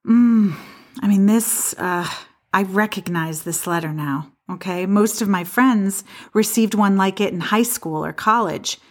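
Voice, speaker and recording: An actress playing a skeptical but helpful apartment dweller in an audio play, speaking in a hesitant, conversational way. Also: female voice, mid-range voice, measured pace, close to an everyday voice. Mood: skeptical, wistful.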